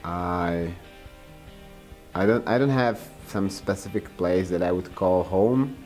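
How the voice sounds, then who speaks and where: A man's voice: sits low, takes it slowly, darkish tone, soft background music. A man answering an interview question in a laid-back, self-mocking way.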